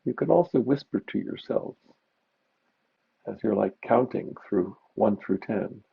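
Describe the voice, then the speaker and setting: A man teaching meditation, giving gentle, unhurried guided mindfulness instructions with pauses. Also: on the low side, expressive, gravelly, slightly rough. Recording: dull, muffled lo-fi recording.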